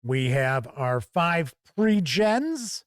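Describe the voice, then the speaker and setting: Male review host, slightly high voice, folksy and measured, emphatic phrasing, close dry studio sound. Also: very animated, no echo. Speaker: host and reviewer of a tabletop gaming channel. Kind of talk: conversational product review to camera.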